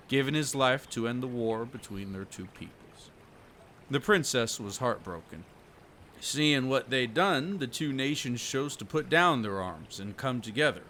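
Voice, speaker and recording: A man acts out a hospitable country fisherman in an audio roleplay. He has a bright, forward voice and speaks in plain folksy phrases with dropped g's. Some ambient noise sits behind him. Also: mid-range voice. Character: kindly rustic fisherman, folksy storyteller, hospitable.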